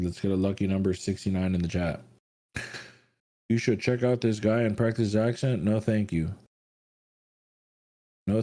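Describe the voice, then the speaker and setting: A man's voice: on the low side, dark tone. A man hosting a live trading stream, brash and crude, hyping the chat between trades. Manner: crude, brash hype talk. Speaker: host.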